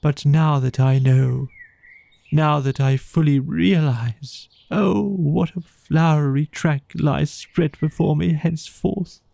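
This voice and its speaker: A storybook toad played by a man, lost in a blissful trance, murmurs grandly and very slowly. The voice sits a little high, with creaky edges, full of boastful wonder. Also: steady tone, dark tone, dry recording. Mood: dreamy, grandiose. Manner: murmuring, drawn-out, rapturous delivery. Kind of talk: children's storybook character voice.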